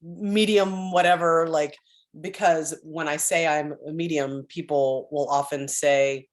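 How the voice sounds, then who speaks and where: A woman with a deep voice telling a rambling story on a video call from home, with many restarts and throat clearing. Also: steady tone, unhurried. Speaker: spiritual group member sharing updates. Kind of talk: casual chat on a group call. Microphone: computer on a video call.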